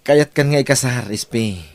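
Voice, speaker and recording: A man's voice: mid-range voice, slow, a little mellow, natural voice. A man acting a devoted, insistent young son in love in an Ilocano radio drama. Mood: ardent, reassuring.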